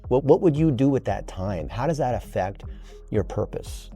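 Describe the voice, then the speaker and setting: A man's voice: medium pitch, animated, normal speed, dark tone, breathy edge, soft background music. A man in his early fifties explaining things at length in an enthusiastic, earnest, emphatic conversational way. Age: early 50s.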